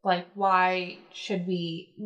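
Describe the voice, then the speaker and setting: Young woman explaining calmly. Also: fairly low pitch, lo-fi sound. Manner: explanatory, measured, many fillers. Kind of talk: casual podcast conversation.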